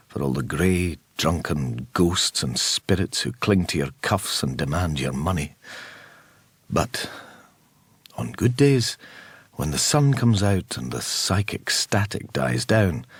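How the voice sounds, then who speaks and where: Brooding psychic, very husky, slow, intimate close dry sound, radio monologue. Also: male voice, low voice, expressive, dry recording, heavily acted. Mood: brooding, reflective. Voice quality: husky, breathy. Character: brooding psychic man narrating his inner thoughts.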